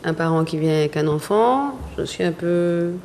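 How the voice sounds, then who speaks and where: Matter-of-fact low woman in a reverberant hall. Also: expressive, moderate pace, darkish tone. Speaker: event organizer, guest. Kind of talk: explanatory interview answers. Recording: reverberant hall with faint noise.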